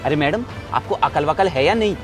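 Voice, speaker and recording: An actor playing a stern resident who scolds a careless driver in a short drama skit. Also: male voice, fairly high pitch, lively intonation, a little fast, faint music behind, natural voice. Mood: scolding. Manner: scolding and lecturing.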